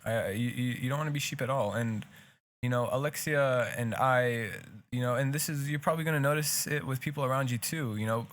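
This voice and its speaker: A man's voice: mid-range voice, a little fast, a bit bright. A young man with a Hebrew accent, a native Hebrew speaker, co-hosting a casual podcast and talking earnestly in long, rambling stretches full of restarts. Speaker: podcast co-host.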